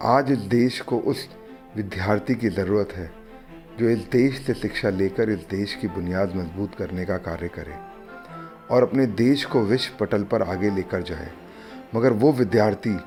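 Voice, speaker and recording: A man narrating a documentary in a solemn, measured way, opening with recited verse. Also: fairly low pitch, a little mellow. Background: background music.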